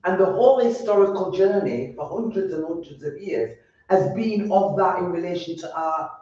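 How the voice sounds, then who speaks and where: A woman's voice: fairly low pitch, moderate pace, warm tone. A woman with a broad Yorkshire accent speaking earnestly on a roundtable panel, often stopping and restarting her words. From Yorkshire, England. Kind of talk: roundtable discussion. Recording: echoey, distant, dull sound.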